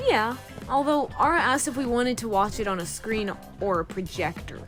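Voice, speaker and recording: Casting: actress as a crude, teasing spacefaring female rogue, highish and animated, dry sarcasm, audio drama. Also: normal speed, faint music behind. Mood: wry, flippant. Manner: sarcastic, needling, profane.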